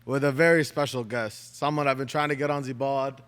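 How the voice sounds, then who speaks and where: A man's voice: mid-range voice, steady tone, fairly quick, a bit bright, clean tone, long reverb tail. A Canadian man hosting a podcast interview, eager and casual, asking long rambling questions with restarts. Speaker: podcast host.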